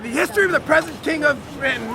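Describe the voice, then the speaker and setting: A man giving a loud, dramatic, emphatic reading of a historical document outdoors, with deliberate phrasing. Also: very high voice, expressive, creaky. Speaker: reader at an outdoor public reading. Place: outdoors on a lakeside beach. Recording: outdoor crowd and motorboat noise.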